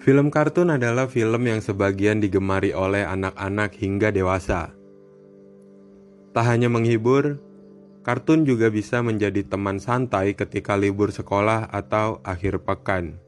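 A man's voice: lowish voice, normal speed. A man hosting a horror podcast, reading scripted narration. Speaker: podcast host. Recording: music bed behind the voice. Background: background music.

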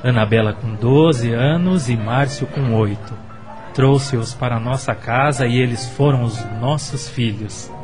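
An actor playing a gentle, lovestruck middle-aged widower in a radio soap, speaking earnestly and courteously. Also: male voice, medium pitch, measured pace, over music, natural voice. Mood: reflective, wistful. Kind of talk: Brazilian radio soap acting.

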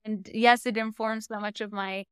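A woman's voice: fairly high pitch, level tone, normal speed, a bit bright, clean tone, no echo, clean studio sound. An American woman hosting a podcast, talking in a chatty, reflective way with frequent fillers and light laughs. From United States. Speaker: podcast host. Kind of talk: casual interview chat.

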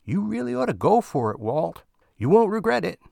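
A crude, chatty space miner with a leering, ungrammatical drawl, as a man reading the story puts him on. His voice sits noticeably higher and louder than the reader's own, and the recording is close and dry. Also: very animated, unhurried, vocal fry, dry recording. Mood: boastful. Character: crude talkative middle-aged male space miner.

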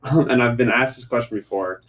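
A man on a casual sports chat, speaking haltingly with many fillers and small laughs. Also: mid-range voice, a bit bright, poor audio. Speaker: panelist.

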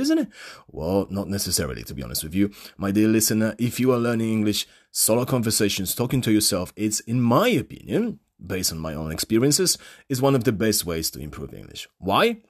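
A man with a Polish accent hosts a solo podcast, speaking into his phone. His voice sits fairly low, but his pitch swings widely as he fires rhetorical questions at the listener between casual fillers. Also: slightly rough. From Poland. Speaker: podcast host and English teacher.

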